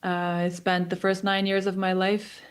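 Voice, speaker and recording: A woman in her twenties, raised between Israel and the US, giving a personal talk as a guest speaker over a video call, pausing often with ums and restarts. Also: mid-range voice, completely flat delivery, clean tone. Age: 20s. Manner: thoughtful, many ums and restarts. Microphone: remote video call.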